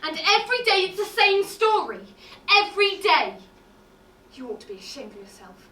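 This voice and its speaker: A woman playing a sharp-tongued, sarcastic housekeeper who scolds her employer. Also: sits high, expressive, normal speed. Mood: scolding. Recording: roomy stage sound from far off with noise.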